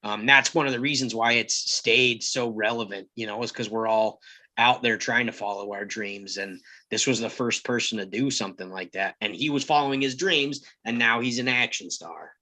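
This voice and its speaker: Male cast member, bright forward voice, chatty, rambling, video call. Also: medium pitch, normal speed. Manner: rambling, thinks aloud with many fillers. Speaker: table read cast member. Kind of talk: casual group discussion.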